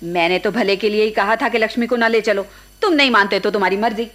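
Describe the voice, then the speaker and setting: An actress playing a bossy, scolding middle-aged aunt in a radio play, with a nagging, commanding manner. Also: female voice, mid-range voice, fast talker, fairly bright tone, natural voice. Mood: grumbling. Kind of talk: Hindi radio play acting.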